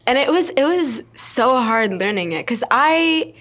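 A woman's voice: high voice, animated, a little slow, a bit bright. Enthusiastic woman chatting. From Canada. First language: Arabic. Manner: enthusiastic, laughs often, repeats words. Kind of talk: casual chat.

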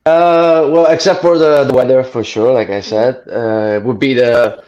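An Italian-born man speaks as a guest, pausing and filling gaps while he hunts for words. His voice sits mid-range and comes through a dull, lo-fi recording. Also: medium pitch, steady tone, darkish tone. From Italy. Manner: hesitant, lots of fillers, searching for words. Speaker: guest musician. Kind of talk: casual interview.

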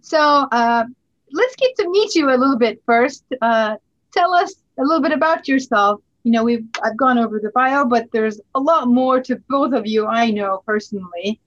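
A woman hosting a podcast, giving formal introductions in a halting way with frequent hesitations. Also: a little high, expressive. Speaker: podcast host, society president. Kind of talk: formal introductions and casual chat. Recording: dull lo-fi audio.

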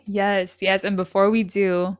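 A woman's voice: medium pitch, little pitch movement, slow, clean tone, no echo, studio quality. A woman hosting a podcast, talking in an effusive, enthusiastic and rambling way. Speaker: podcast host. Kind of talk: casual podcast interview.

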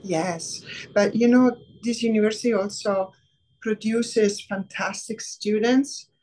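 A middle-aged woman from Rome with an Italian accent, a professor speaking in a casual interview, thoughtful with many pauses. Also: medium pitch, a little hiss or hum, poor audio. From Rome, Italy. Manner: warm, thoughtful, many "um" pauses, laughs easily. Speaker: biology professor, guest.

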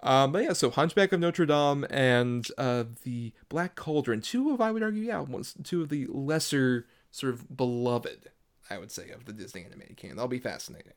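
A man talks as a podcast co-host with a medium-pitched voice. He keeps things casual and conversational. His manner is playful and jokey, as in easy banter between co-hosts. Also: expressive, moderate pace. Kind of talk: casual movie podcast chat.

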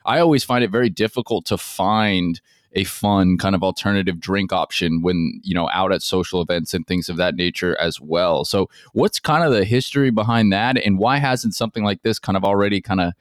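Male podcast interviewer, low voice, close dry sound, wordy and affirming. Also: a little fast, no echo. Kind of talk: conversational interview.

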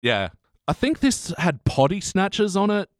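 A man hosting a casual, jokey review podcast in an animated way. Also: high voice, moderate pace, no room sound, clean studio sound. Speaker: podcast host. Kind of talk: casual comedic review chat.

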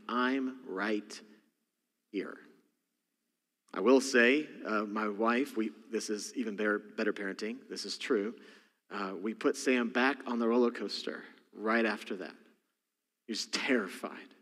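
A man's voice: mid-range voice, fairly bright tone. A man with a Texas accent, a senior pastor preaching a sermon with folksy, joking asides. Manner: folksy, jokey storytelling with asides. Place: church sanctuary. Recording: long church hall reverb.

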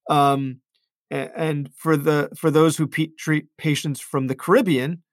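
A man's voice: a little high, steady tone, unhurried, no echo. Chatty male ENT doctor, dry sound. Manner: thinking aloud, repeats words and restarts often. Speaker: ENT physician. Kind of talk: conversational talk about patient cases.

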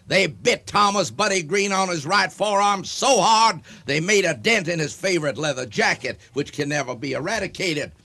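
Pompous, creaky-voiced, high-pitched zealot. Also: male voice, lively intonation, normal speed, few pauses, bright, forceful tone, exaggerated voice. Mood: pompous, indignant. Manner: pompous speechifying, barking commands. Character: bombastic, paranoid male leader of a vigilante club. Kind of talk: comic radio drama acting.

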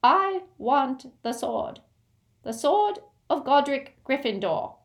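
A woman's voice: sits high, very animated, slow pace, pitched above the performer's own voice, heavily acted. A woman voicing a proud, angry male goblin who speaks in a firm, formal, indignant manner in a storybook reading. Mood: firm, sly.

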